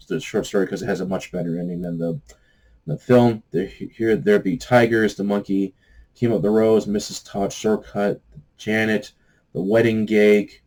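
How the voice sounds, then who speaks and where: A man hosting a book review vlog in a casual, off-the-cuff way, halting and meandering as he searches for words. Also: on the low side, gravelly, dark tone. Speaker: host of a book review channel.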